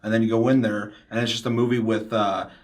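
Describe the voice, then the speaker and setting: A man's voice: lowish voice, flat delivery, fast talker. A man hosting a casual panel discussion, ranting emphatically with heavy swearing and slipping into mimicked quotes of other people. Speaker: podcast host.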